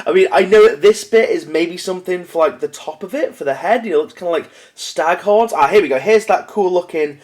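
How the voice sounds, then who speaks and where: A man's voice: high-pitched, a little fast, few pauses, darkish tone. A young man with a cold, chatting casually and apologetically to camera, full of fillers and restarts. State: stuffy with a cold. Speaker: miniatures hobby video host. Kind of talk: casual unboxing talk to camera.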